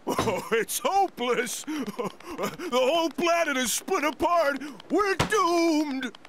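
A man's voice: extremely high pitch, expressive, slow pace, background noise, exaggerated voice. A man voicing a boisterous, melodramatic middle-aged ice cream vendor in a game cutscene. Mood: despairing. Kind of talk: animated game cutscene acting.

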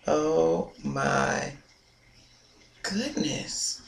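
A woman's voice: low-pitched, very slow, creaky. A young woman chatting casually to a webcam about her hair, playful and amused, sometimes dropping to a whisper. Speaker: hair vlogger. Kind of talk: casual vlog chat. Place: small indoor room. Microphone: webcam at close range. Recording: echoey room with faint noise.

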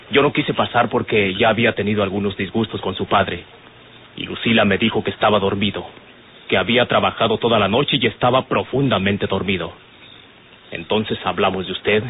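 Man as earnest, low-voiced young Mexican, old radio. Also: natural voice. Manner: polite, careful explaining. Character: earnest, respectful young man defending himself. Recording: noisy, narrow-band old radio recording.

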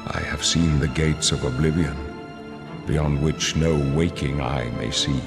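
An actor playing a weary, solemn aged emperor in a video game, narrating in a grave, stately, measured manner. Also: male voice, rumbling low, fairly even intonation. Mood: solemn foreboding. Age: older. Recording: long reverb under loud music.

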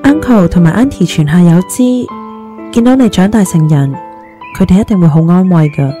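Casting: loyal, consoling young woman played by a woman, warm mellow tone, radio drama, soft music underneath. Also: fairly low pitch, animated, moderate pace, close to an everyday voice. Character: caring young woman, soothing loyal best friend. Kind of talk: audio drama acting.

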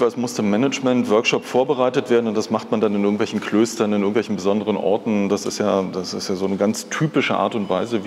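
A man's voice: on the low side, darkish tone, slight background noise. A man in his fifties from East Germany, telling personal stories conversationally as a guest in a talk. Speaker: interview guest, art patron. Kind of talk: reflective personal storytelling in a live talk. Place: large glass-fronted indoor hall. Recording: reverberant hall.

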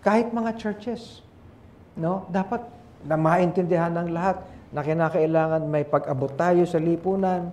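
A man's voice: fairly high pitch, steady tone, a little slow, darkish tone, slight background noise. Older pastor hosting, fatherly and chatty. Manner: fatherly, peppered with "okay?" and "eh". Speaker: pastor and host. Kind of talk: casual studio talk show chat. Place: small TV studio set. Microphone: lapel mic.

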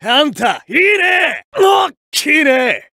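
An actor playing a cocky, swaggering young male samurai brawler, with rough street-tough talk in fighting game voice clips. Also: extremely high pitch, sing-song, slow, pushed and bright, dry recording, raised voice, clean studio sound, full character voice. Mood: fierce battle cries. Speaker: game character voice. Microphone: dry studio mic.

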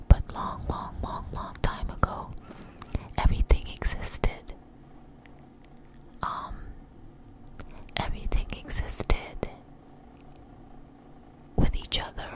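A woman telling a story in a breathy, close-up ASMR whisper, halting and unhurried, with frequent pauses. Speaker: ASMR video creator. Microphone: close microphone.

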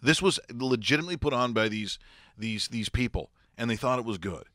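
A man's voice: mid-range voice, animated, brisk, pushed and bright, no room sound. A man in his fifties hosting a show with casual comic commentary, mocking and incredulous, close on a studio microphone. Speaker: show host. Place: small studio. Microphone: close broadcast microphone, headset monitors.

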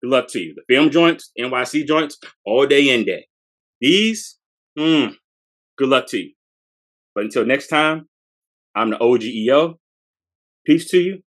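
A man's voice: medium pitch, animated, measured pace, long pauses, a bit bright. Hyped-up man showing off sneakers. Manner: hype, slangy, repeats phrases. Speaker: sneaker podcast co-host. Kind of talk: casual sneaker showcase. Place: small room with shoe box shelves. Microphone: fixed camera at close range.

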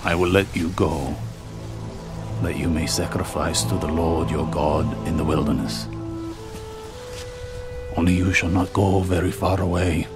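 An imperious king in a dramatized scripture reading. The voice is deep and commanding, with a haughty, grudging manner, set over clear music. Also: steady tone, a little slow, pauses often.

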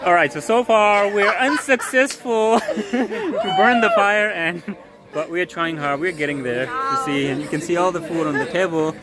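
A man's voice: high voice, normal speed, over a noisy crowd. Male vlogger, casual rambling tour talk, recorded outdoors on a handheld camera with people talking around him. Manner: chatty, hesitant, many fillers. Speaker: travel vlogger. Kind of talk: casual walking tour narration. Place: outdoors at a historic site.

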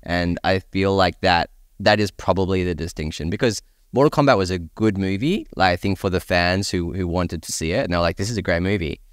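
A man's voice: sits low, fairly quick, hardly any pauses, no room sound, clean studio sound. A man talking conversationally in a casual panel discussion, as a podcast panellist.

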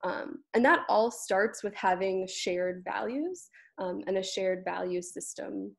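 A young woman talks as a panelist on a video call. She explains her points in an explanatory discussion style, at a middle pitch. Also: measured pace, vocal fry. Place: indoor room on a video call.

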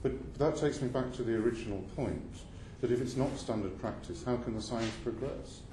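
A man's voice: medium pitch, fairly quick. A man questioning a witness at a parliamentary committee hearing, pressing and insistent, stumbling and restarting his sentences. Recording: roomy hall sound with faint noise.

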